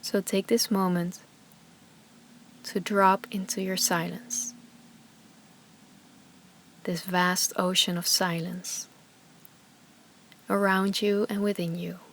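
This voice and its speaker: Slow, soothing woman guiding meditation. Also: medium pitch, flat delivery, slight background noise. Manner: slow, soothing instructions with long pauses. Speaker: yoga and meditation teacher. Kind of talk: guided meditation.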